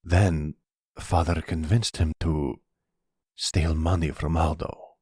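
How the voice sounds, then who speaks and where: Guy doing a shy wounded young russian gangster, low voice, slow careful formal talk, asmr roleplay. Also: level tone, darkish tone, breathy edge, no room sound, studio quality, full character voice. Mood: subdued, hurt. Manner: halting, formal phrasing without contractions. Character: timid, wounded young mobster, a rejected bastard son. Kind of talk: ASMR roleplay audio drama.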